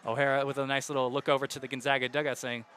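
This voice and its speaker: A young man calling baseball play-by-play on radio, walking through counts and base runners in a steady flow. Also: medium pitch, rapid-fire, soft background music. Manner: steady count-and-situation narration, rising loud on hits. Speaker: play-by-play announcer.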